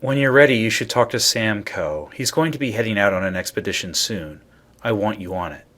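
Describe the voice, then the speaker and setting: An actor voicing a brisk, commanding man in charge of a space crew in a video game. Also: lowish voice, lively intonation, a bit bright.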